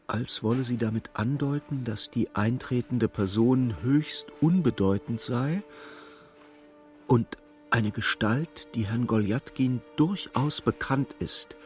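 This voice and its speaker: An actor playing a sober storyteller, narrating a literary radio play in a husky, measured, dramatic way. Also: male voice, mid-range voice, natural voice. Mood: hushed, suspenseful. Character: sober male storyteller following a troubled clerk.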